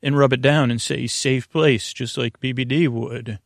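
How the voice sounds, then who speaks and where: A man hosting a sleep podcast in a meandering, halting bedtime monologue, full of restarts and whimsical, punning tangents, with a gravelly voice. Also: mid-range voice, fairly even intonation, dry recording, studio quality. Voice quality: creaky, gravelly. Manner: whimsical, punning, silly asides.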